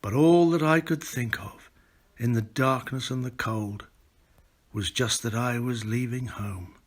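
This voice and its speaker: A man's voice: mid-range voice, measured pace. British older man reciting verse. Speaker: author and poetry reader. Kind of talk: expressive poetry recitation with spoken introduction.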